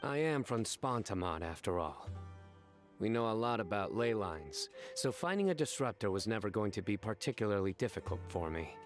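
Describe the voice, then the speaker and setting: An actor playing a solemn, stoic young man in a video game, deadpan and earnestly dramatic. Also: fairly low pitch, soft background music. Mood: matter-of-fact. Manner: deadpan, measured.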